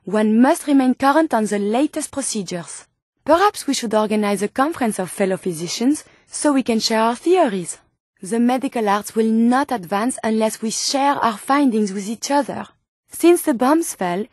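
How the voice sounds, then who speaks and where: A woman's voice: on the high side, lively intonation, moderate pace, darkish tone, no echo, studio quality. A woman with a French accent voicing a polite, earnest female robot scientist in a video game, speaking formally and precisely. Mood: earnest, curious. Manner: formal, precise, courteous phrasing without contractions. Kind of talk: video game character dialogue.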